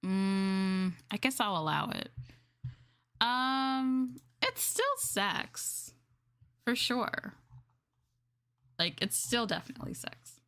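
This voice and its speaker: A woman's voice: mid-range voice, slow pace, pauses often, a bit bright, clean tone. Casual woman streamer thinking aloud. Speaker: VTuber streamer. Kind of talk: casual livestream chat.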